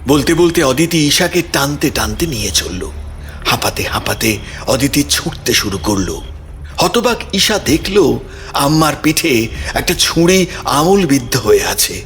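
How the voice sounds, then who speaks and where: A man's voice: medium pitch, very animated, a little slow, long pauses, fairly bright tone. A man narrating a scripted audio story in a dramatic storytelling style. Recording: music behind the voice.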